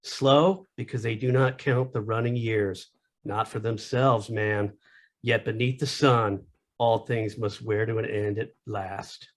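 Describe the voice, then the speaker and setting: A man's voice: on the low side, steady tone, unhurried, close to an everyday voice. A man voicing a laid-back philosophical elf with a drawling, stoner-like way of musing in a comedic table read. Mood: mellow musing.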